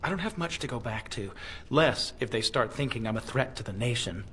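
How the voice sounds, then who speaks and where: A man's voice: medium pitch, lively intonation, brisk, a bit bright, a little hiss or hum, close to an everyday voice. A man playing a bitter, sarcastic renegade elf mage in a fantasy video game, dry and uneasy in his delivery. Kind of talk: video game cutscene acting.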